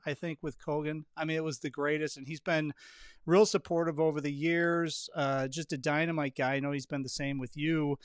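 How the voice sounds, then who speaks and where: A man's voice: a little high, clean tone, dry recording, studio quality. A man hosting a podcast, telling anecdotes in an informal, slangy, casual style. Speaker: podcast host.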